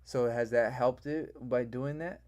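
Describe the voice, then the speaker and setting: A man's voice: mid-range voice, fairly even intonation, unhurried, a little mellow. A man hosting a live trading stream, explaining loosely with many fillers, then chatting with a caller. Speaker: livestream host, day trader. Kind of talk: casual live-stream commentary. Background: music playing.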